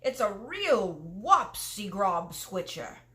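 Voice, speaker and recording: A woman's voice: mid-range voice, sing-song, slow pace, fairly bright tone. A woman voicing a big friendly male giant who talks in muddled grammar and invented words. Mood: earnest, explaining.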